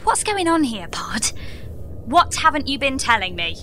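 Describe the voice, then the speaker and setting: A woman's voice: high voice, big pitch swings, a little slow, sharp tone, a little hiss or hum, exaggerated voice. A woman playing a bewildered, sardonic space traveller in a comedy audio drama, questioning everything with dry sarcasm.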